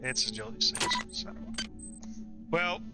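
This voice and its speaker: A man giving casual, halting gameplay commentary, thinking aloud as he plays. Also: medium pitch, expressive. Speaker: game streamer. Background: loud music.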